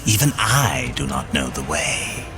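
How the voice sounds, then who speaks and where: An actor playing a sly, gloating demon merchant in a video game, with a theatrical, taunting delivery. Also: male voice, rumbling low, very animated, a little slow, heavily acted. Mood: mocking. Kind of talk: video game character acting. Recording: loud music behind the voice. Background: game soundtrack music.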